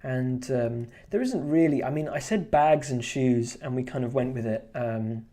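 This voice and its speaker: A man's voice: medium pitch, normal speed, warm tone. A man chatting casually while drawing on a live stream, rambling with lots of fillers and restarts. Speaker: streamer and artist.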